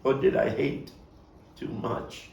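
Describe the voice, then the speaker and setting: Guy acting out haunted brooklyn man in a stage monologue, gravelly voice, bitter and halting, roomy far off mic. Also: mid-range voice, big pitch swings, darkish tone, muffled recording, exaggerated voice. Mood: anguished pleading. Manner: halting, brooding, with sudden anguished outbursts. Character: haunted Brooklyn man confessing a boyhood killing, bitter, anguished. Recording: roomy, distant mic, faint noise.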